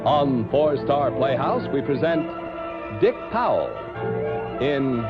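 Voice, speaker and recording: A man announcing a 1950s television show in a grand, deliberate manner. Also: mid-range voice, lively intonation. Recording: muffled old television soundtrack, loud dramatic music. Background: loud ominous music.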